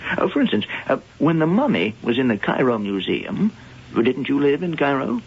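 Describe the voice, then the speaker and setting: An actor playing a reserved, sceptical museum professor in a radio mystery drama, speaking in a measured, hesitant way. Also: male voice, mid-range voice, big pitch swings, gravelly, slightly rough, heavily acted. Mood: reserved, apologetic. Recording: muffled, noisy 1980 radio broadcast.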